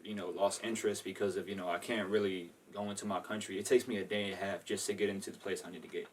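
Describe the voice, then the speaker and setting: A man's voice: low voice, flat delivery, quick pace, far from the mic, slight background noise. A young man from Maryland answering interview questions in loose, rambling casual talk full of "you know" and "like". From Maryland, USA. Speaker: guest.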